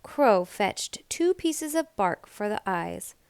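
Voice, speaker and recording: A woman reading a children's story aloud as scripted narration, in a deliberate, expressive storytelling manner. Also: medium pitch, vocal fry, smooth, no echo. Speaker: storybook reader.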